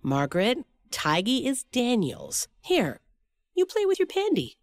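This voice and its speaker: A caring cartoon grown-up with a high, lively voice. She speaks slowly and calmly, firm but kind, guiding little children in simple phrases. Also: dry recording, exaggerated voice. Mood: calm, soothing.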